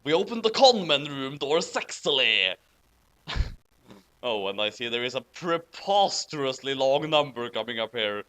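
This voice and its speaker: A man's voice: mid-range voice, very animated, measured pace, fairly bright tone. A man reading a story aloud and commenting on it in an amused, incredulous, mocking way. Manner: incredulous, amused, breaking into laughter over the text. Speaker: host reading fan fiction aloud with commentary.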